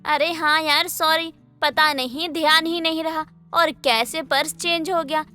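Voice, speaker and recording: A young wife in an audio story drama, acted by a woman. Her voice is high and bright, with an emotive delivery. Music plays under her lines. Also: fairly even intonation, measured pace. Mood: apologetic. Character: lonely, pleading young wife, affectionate but easily irritated. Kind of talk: Hindi moral-story audio drama acting.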